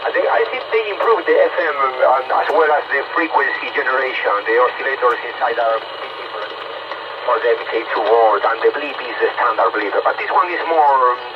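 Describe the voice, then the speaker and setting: A man's voice: medium pitch, brisk. A man talking over two-way radio in a courteous, hesitant way, repeating words as he chats about equipment. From Spain. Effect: two-way radio transmission. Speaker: amateur radio operator. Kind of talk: casual technical radio chat. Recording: muffled, very noisy CB radio reception.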